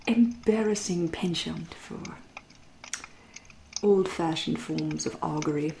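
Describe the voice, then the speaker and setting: A woman playing a centuries-old vampire in an ASMR roleplay, with an English accent, a soft breathy half-whisper and a genteel, teasing, politely menacing manner. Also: sits low, slight background noise, heavily acted, rough recording. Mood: polite, intrigued. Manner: slow, deliberate, politely menacing.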